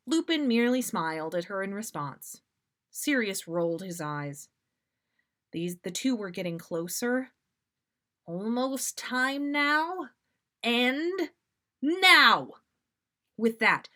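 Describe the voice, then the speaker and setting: A woman's voice: a little high, fairly bright tone. Woman reading a story aloud expressively. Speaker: podcast host reading listener stories. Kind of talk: expressive fan fiction read-aloud with casual commentary.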